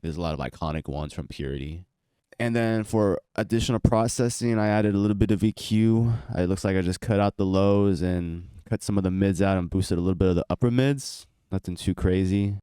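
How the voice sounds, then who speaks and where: Stuffy, congested deep-voiced young man. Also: warm tone, clean tone, dry recording. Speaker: beat producer and channel host. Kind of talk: casual tutorial walkthrough.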